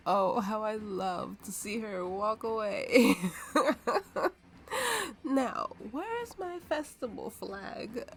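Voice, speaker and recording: A woman's voice: mid-range voice, animated, slow pace. A woman voicing a drunken, playful and imperious warrior woman in an ASMR roleplay. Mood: giddy, imperious. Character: tipsy, haughty, flirtatious warrior woman with a slave. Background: soft background music.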